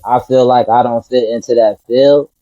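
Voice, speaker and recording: A man's voice: medium pitch, steady tone, moderate pace, dark tone, no echo, lo-fi sound. A man from Detroit, a rapper, answering interview questions in a laid-back, earnest way. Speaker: rapper guest.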